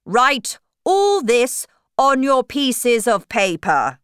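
Woman as a pompous, slow-spoken storybook king. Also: on the high side, sing-song, smooth, no room sound, studio quality, voice pushed up, heavily acted. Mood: commanding.

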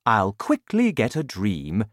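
A woman voicing a gentle, gloomy male giant in a children's story, talking in a slow, sad, matter-of-fact way. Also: extremely low pitch, wildly swooping pitch, no room sound, clean studio sound, full character voice. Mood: explaining.

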